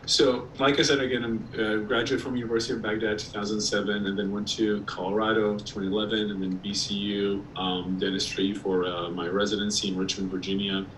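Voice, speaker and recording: A man from Iraq, a periodontist giving a casual presentation over a video call, slightly hoarse and talking with many ums and restarts. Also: on the low side, flat delivery, brisk, few pauses, a bit bright. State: slightly hoarse, clearing throat. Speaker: periodontist guest speaker. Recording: distant mic with faint background noise.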